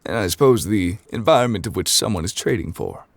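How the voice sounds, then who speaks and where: A calm, scholarly ancient god, as a man puts him on for an ASMR roleplay. He talks with very deep, gravelly gravitas and unhurried, formal phrasing. The voice stays close to the microphone and sometimes drops to soft near whispers, in a dry room. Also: dry recording. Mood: lecturing. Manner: formal, measured, old-fashioned phrasing.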